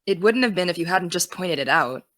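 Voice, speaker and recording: A young actress playing an earnest high school girl who wants to be a journalist, defensive and dry. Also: lively intonation, brisk, a bit bright, dry recording. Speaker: student podcast host. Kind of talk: audio drama.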